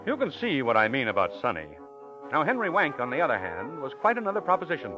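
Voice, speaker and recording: An actor playing a smooth-talking con man who poses as a learned professor, pompous and wordy, in 1940s radio drama style. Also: a little high, huge pitch swings, fast talker, loud music behind the voice. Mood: sly, self-satisfied. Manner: pompous, wordy, theatrical delivery. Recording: muffled old radio broadcast.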